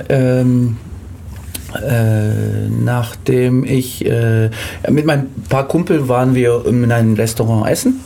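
A man's voice: mid-range voice, dark tone, a little hiss or hum. A middle-aged man telling personal stories in an interview, hesitant and often repeating words. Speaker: interview guest, amateur actor. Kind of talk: conversational personal storytelling.

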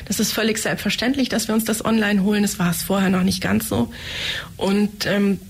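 A woman's voice: mid-range voice, fairly even intonation, a little fast, gravelly, breathy edge. A woman answering interview questions about her organization, confident and matter-of-fact. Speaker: head of a family education center.

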